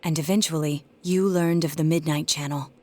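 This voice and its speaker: A woman voicing a composed young detective in a video game, speaking in a calm, measured, probing way. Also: sits low.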